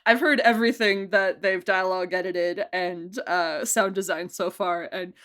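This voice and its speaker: A woman producer of an audio drama, chatting casually in an interview, animated and laughing often. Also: normal speed. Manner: animated, laughs often, jumps up high in pitch for emphasis.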